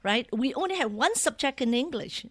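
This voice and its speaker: A Malaysian woman with a Malaysian accent, whose first language is Malay, telling stories as an interview guest in a lively, chatty way, often adding tag questions. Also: high-pitched, moderate pace. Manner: animated, often ends phrases with tag questions. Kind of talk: casual storytelling interview.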